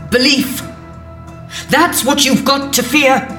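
Doom-laden alien captive, weary and scornful, unhurried, echoey room. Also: female voice, high-pitched, fairly even intonation, bright, forceful tone, soft background music, full character voice. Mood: grim warning. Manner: grave, foreboding, scornful. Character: ominous, weary alien woman held captive. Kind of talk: audio drama acting.

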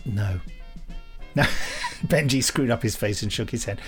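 A man's voice: mid-range voice, unhurried, fairly bright tone, faint music behind. A middle-aged British man hosting a podcast in casual chat, with a jokey, rambling, self-mocking way of talking. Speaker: podcast host.

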